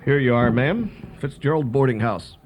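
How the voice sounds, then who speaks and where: A man playing a folksy, brisk carriage driver of Civil War days in a radio drama, hearty and businesslike. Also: mid-range voice, lively intonation, noisy background, lightly acted. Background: horse and carriage sounds.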